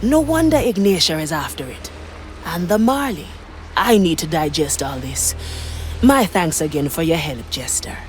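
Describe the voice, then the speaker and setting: A woman's voice: low-pitched, big pitch swings, moderate pace, faint music behind. An actress playing a bold, dry-witted pirate woman in a video game, wry and confident. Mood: pensive. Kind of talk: video game dramatic acting.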